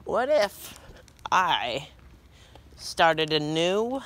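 A teenage boy vlogging on a walk down a quiet street, joking and rambling into a phone held at arm's length. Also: on the high side, sing-song, drawn-out words. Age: teens. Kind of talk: goofy walking vlog.

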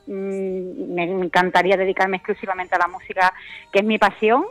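A woman singer with an Andalusian accent, chatting as a guest on a radio interview, pausing often with fillers and restarts. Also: mid-range voice, little pitch movement, a bit bright, soft background music. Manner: hesitant, many fillers and restarts. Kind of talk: casual phone-in interview chat.

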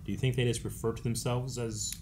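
A man's voice: medium pitch, flat delivery, fast, darkish tone, a little hiss or hum. A man in his thirties with an American accent, chatting casually as a reaction show host. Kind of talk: casual discussion of a cartoon episode. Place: indoor studio with set shelves.

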